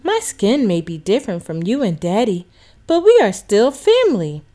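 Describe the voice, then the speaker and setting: A woman voicing a gentle, loving mother who speaks softly and tenderly in a children's storybook. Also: mid-range voice, big pitch swings, moderate pace, close to an everyday voice. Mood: tender, reassuring. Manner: soft, tender. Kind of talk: children's storybook read-aloud.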